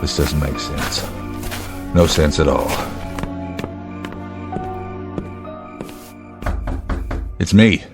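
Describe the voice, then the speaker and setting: Very deep-voiced, steady state trooper. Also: male voice, over loud music. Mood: puzzled, grave. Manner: measured, laconic, dryly wry. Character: gruff, steady male state trooper, wryly humorous, protective. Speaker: actor in a radio drama. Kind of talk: naturalistic radio drama acting.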